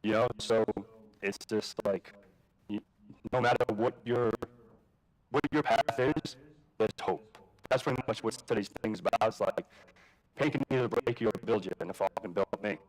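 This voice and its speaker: A man's voice: lowish voice, normal speed, pauses often, poor audio. Casual New man telling his story. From Jamaica, Queens, New York. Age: adult with a daughter. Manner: casual, rambling, many fillers and restarts, swears. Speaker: conference speaker. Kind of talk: personal story talk at a live event.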